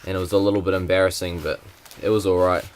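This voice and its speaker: A young man, speaking in a deep voice that barely moves in pitch. He talks plainly and haltingly, with many fillers, as he shows things to a camera. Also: flat delivery, a little hiss or hum. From New Zealand. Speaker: vlogger. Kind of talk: casual unboxing vlog.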